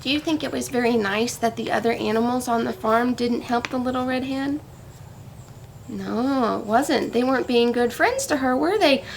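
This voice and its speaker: A woman's voice: a little high, moderate pace, vocal fry, slight background noise. A woman speaking to young children in simple, teacherly language with questions, as in a storybook read-aloud or farm show-and-tell.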